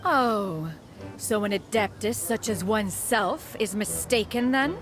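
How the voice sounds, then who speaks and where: A woman's voice: mid-range voice, expressive, measured pace, faint music behind, lightly acted. A woman voicing an aloof, dignified immortal with lofty, formal diction in a video game. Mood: cool, pointed.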